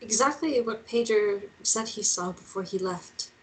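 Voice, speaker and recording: A woman's voice: medium pitch, measured pace, a little hiss or hum, lightly acted, low-quality recording. A woman playing a nervous, uncertain but caring young woman in a screenplay table read.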